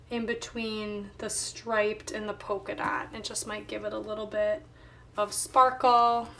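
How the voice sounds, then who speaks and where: Slightly hoarse, higher-pitched woman thinking aloud. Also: fairly bright tone, recorded from a distance, slight background noise. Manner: unhurried thinking aloud while working. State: slightly hoarse, voice not recovered. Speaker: planner vlogger. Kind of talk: casual plan-with-me craft narration.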